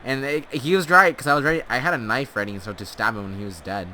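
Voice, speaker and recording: Male gaming storyteller, forceful bright tone, tongue-in-cheek and rambling, faint-budget home recording with clear background noise. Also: medium pitch, steady tone, quick pace. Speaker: host of a gaming storytelling channel. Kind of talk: casual comic storytelling over gameplay.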